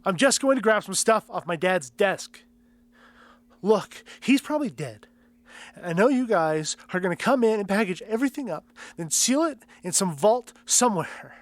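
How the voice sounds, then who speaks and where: A man's voice: sits high, animated, normal speed, no room sound. A man playing an anxious, snarky kid in an audio drama, exasperated and informal. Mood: wary, resigned. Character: anxious, snarky kid searching for missing father.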